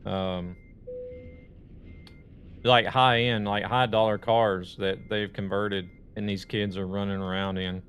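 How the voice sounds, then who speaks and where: A man's voice: fairly low pitch, level tone, slow pace, long pauses, a bit bright, a little hiss or hum. A man live-streaming a truck game, chatting in a rambling, conversational way and greeting viewers. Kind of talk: casual live-stream chat while playing a truck game.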